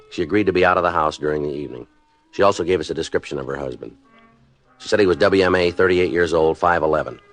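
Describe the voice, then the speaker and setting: An actor playing a terse, businesslike police detective in a 1950s radio crime drama, deadpan and matter-of-fact. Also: male voice, low-pitched. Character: terse, businesslike male police detective narrating his case.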